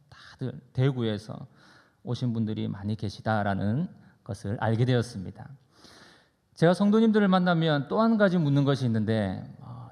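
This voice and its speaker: Gravelly male pastor in an echoing hall. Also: medium pitch, a little mellow. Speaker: pastor preaching. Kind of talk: sermon with conversational anecdotes. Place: church sanctuary during a service. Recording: reverberant hall, long echo.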